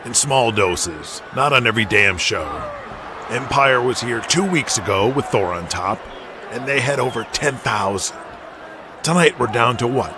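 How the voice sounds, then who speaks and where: An actor playing a worried, frank wrestler son of a promoter in an audio drama. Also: male voice, medium pitch, lively intonation, a little slow, close to an everyday voice. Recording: noisy arena ambience behind voice. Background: arena ambience.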